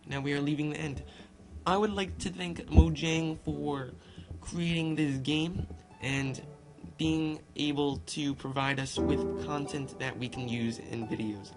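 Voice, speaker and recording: A man's voice: fairly high pitch, steady tone, unhurried, rough recording. A man doing casual amateur narration for a gaming video, informal and often stumbling over words and names. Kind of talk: casual read-aloud narration with loose asides. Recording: music playing behind the voice. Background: loud instrumental music.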